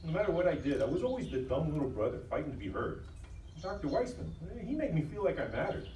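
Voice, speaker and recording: A man's voice: fairly high pitch, very animated, fast, darkish tone. A man playing an earnest, insecure, grateful younger brother in a live audio drama. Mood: heartfelt. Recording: echoey live stage, distant, faint noise.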